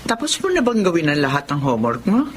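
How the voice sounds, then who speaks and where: A woman's voice: lowish voice, wildly swooping pitch, fast talker, very noisy. A woman playing a caring but strict mother, speaking instructively in a Tagalog radio drama.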